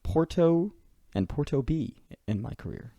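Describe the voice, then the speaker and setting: A man's voice: a little high, animated, measured pace, dark tone, no echo. A man hosting a casual football podcast over a headset, chatty and swearing freely. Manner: swears often, loose and chatty. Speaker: podcast host. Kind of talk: casual chat.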